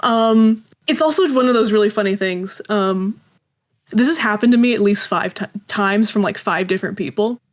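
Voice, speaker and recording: A woman's voice: medium pitch, normal speed. A woman being interviewed as a creator, telling stories casually and self-deprecatingly and acting out little quoted exchanges. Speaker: guest, audio drama creator.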